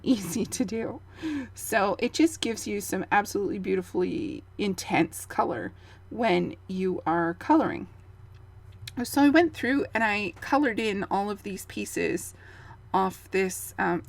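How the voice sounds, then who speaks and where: A woman in her thirties whose voice has gone gravelly and thin, talking through a scrapbook page as she works. She rambles casually with plenty of ums and small asides, at an ordinary middle pitch. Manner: chatty, rambling, with fillers and soft laughs. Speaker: scrapbooking channel host. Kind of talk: casual voice-over of a craft process.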